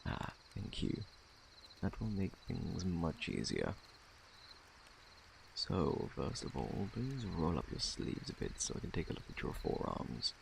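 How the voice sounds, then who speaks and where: A man with a British accent playing a courteous, scholarly plague doctor in a soft-spoken ASMR roleplay, formal and gently reassuring. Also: very animated, a little slow, a little hiss or hum, full character voice. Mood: gentle, attentive. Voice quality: very deep, creaky, hushed. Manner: formal, gentle, reassuring, old-fashioned wording. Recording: faint night insect ambience.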